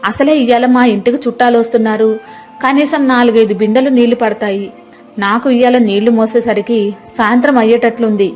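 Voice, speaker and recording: A woman's voice: fairly high pitch, fairly even intonation, quick pace, darkish tone, soft background music, close to an everyday voice. A woman voicing a burdened village woman complaining in colloquial speech. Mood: burdened complaint.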